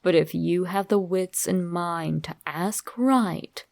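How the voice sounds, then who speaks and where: A woman reading a scripted horror story straight to the listener in a dramatic, deliberate way. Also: fairly low pitch, creaky, a little mellow. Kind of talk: scripted creepypasta narration, addressed to the listener.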